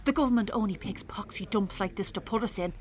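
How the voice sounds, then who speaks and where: A woman with an Irish accent playing a weary, sharp-tongued working-class mother in a radio drama, wry and blunt. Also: mid-range voice, quick pace, natural voice. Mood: defiant, scornful. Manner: wry, blunt, earthy talk. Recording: clearly noisy, traffic and ambience behind.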